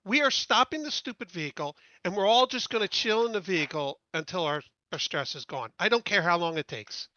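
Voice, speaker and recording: A man's voice: high voice, lively intonation, fairly quick, hardly any pauses, pushed and bright, no echo, dull audio. A man playing a tabletop game in casual talk, blunt, insistent and impatient.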